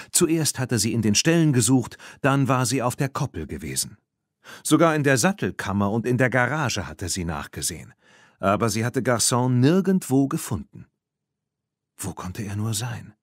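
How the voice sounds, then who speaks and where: A man's voice: lowish voice, no echo, studio quality. A man reading a scripted children's story as an audiobook narrator.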